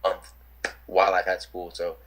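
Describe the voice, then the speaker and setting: Husky young New man, rambling. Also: low voice, monotone, normal speed, fairly bright tone. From New York City. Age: young adult. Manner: rambling, lots of fillers and restarts. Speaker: interviewee, rapper. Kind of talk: casual chat. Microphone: video call.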